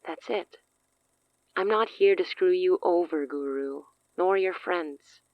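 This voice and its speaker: An actress playing a cunning, self-assured sorceress in an audio drama, speaking in a theatrical, silky, condescending way. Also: female voice, on the low side, takes it slowly, dry recording, highs cut off. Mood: smooth, commanding. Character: cunning, self-assured woman sorceress offering a dangerous pact.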